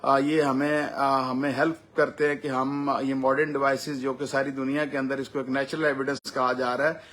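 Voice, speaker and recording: A man with a Pakistani accent giving a semi-formal explainer as a lawyer, speaking deliberately with drawn-out hesitations. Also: medium pitch, flat delivery, a bit bright.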